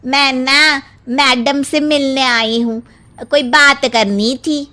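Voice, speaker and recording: A woman voicing a wistful, flattering housemaid in a cartoon story, gushing and plaintive. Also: high-pitched, slow pace, full character voice. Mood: curious. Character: wistful, flattering housemaid who longs to be beautiful. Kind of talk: cartoon family story acting.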